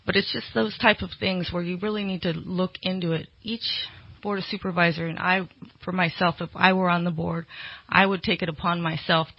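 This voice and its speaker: A woman's voice: lowish voice, steady tone, normal speed, no room sound. A woman political candidate answering interview questions conversationally, explaining things with some hesitant phrasing. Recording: dull, lo-fi radio interview audio.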